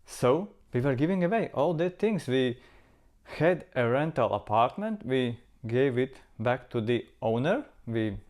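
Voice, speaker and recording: A man's voice: medium pitch, lively intonation, measured pace, darkish tone. A man from Latvia with a Latvian accent, talking to camera as a travel vlogger in an earnest, reflective way and repeating words for emphasis. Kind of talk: casual talk to camera.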